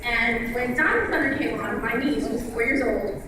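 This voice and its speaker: Woman, earnest audience question, slightly high voice, echoey hall, far from the mic. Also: animated, moderate pace. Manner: earnest, personal. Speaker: fan asking a question. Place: large convention hall. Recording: echoey hall, distant mic, background noise.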